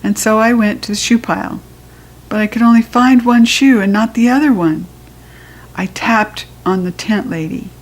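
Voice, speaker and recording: A woman with a General American accent reading a children's storybook aloud, with expressive, childlike first-person narration. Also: on the high side, moderate pace, poor audio. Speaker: children's book reader.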